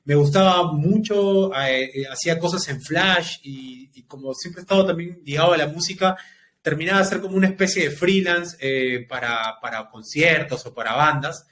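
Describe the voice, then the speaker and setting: Guy, 30s, podcast guest, slightly high voice, faint noise underneath, thoughtful storyteller. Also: fairly bright tone. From Peru. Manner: reflective, talks in long unhurried stretches. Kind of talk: casual interview storytelling.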